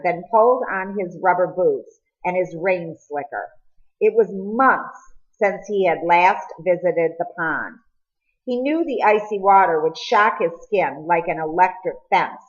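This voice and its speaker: A woman with a lowish voice narrates a story from a script. She reads expressively, as an audiobook reader would. The recording is somewhat dull and lo-fi.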